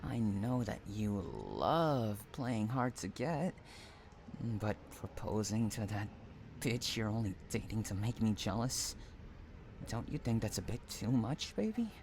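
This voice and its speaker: A man's voice: lowish voice, lively intonation, measured pace, darkish tone, a little hiss or hum. A man acting an obsessive, unhinged stalker in an audio roleplay, cooing pet names and drawing out words between tenderness and anguish. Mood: disappointed, possessive. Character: obsessive, unhinged male stalker lover, sweetly possessive and menacing. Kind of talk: dramatic roleplay audio monologue.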